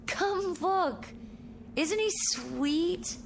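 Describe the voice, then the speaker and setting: Anxious, high-voiced wife. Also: female voice, animated, drawn-out words, vocal fry, soft background music, exaggerated voice. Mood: tender, delighted. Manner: earnest, tender, fretful. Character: anxious, soft-hearted wife, lost and frightened. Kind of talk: mid-century American television drama acting.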